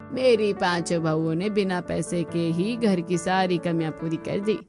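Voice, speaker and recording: A woman voicing a melodramatic, expressive woman in a Hindi family cartoon, speaking in a theatrical, exclamatory way. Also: fairly low pitch, a little slow, over music. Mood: impressed. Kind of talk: Hindi cartoon drama dubbing.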